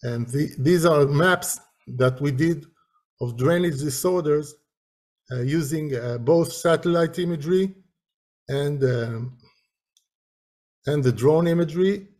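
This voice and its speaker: A man giving a webinar presentation on a video call in a halting, hesitant manner. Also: on the high side, darkish tone.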